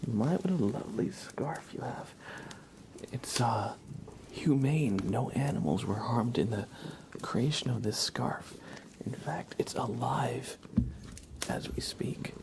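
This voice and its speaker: Male ASMR host, slow and hushed, half-whispered with gravelly fry, gently joking, close-miked. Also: lively intonation. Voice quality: soft, breathy half-whisper with creaky low voice. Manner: playful, gently joking, unhurried. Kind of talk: ASMR tarot card reading for viewers.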